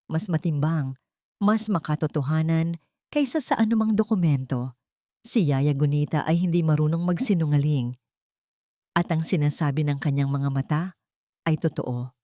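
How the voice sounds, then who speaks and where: A woman narrating a scripted audio drama in a solemn, suspenseful way, with deliberate dramatic phrasing. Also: low-pitched, warm tone, no room sound, clean studio sound.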